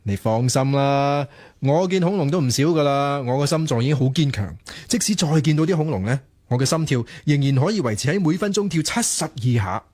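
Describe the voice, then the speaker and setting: A man's voice: on the high side, animated, fairly quick, a little mellow. An actor playing an earnest, naive, romantic young man in a radio drama, speaking with a Hong Kong Cantonese accent. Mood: confident, defensive.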